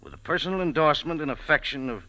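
Actor as wry hardboiled café owner, old radio. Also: male voice, fairly high pitch, animated, quick pace, sharp tone, dry recording, poor audio. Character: wisecracking American male café owner. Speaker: lead and narrator. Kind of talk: 1940s radio acting and hardboiled narration. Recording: old radio broadcast with music.

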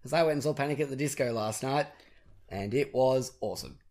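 A young man hosting a podcast in casual chat, his voice husky and raspy from a cold and singing, rambling and self-deprecating. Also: mid-range voice, fairly quick, darkish tone. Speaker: podcast host.

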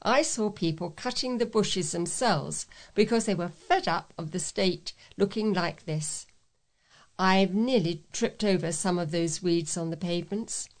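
A woman reading scripted local news items as a volunteer newsreader. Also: mid-range voice.

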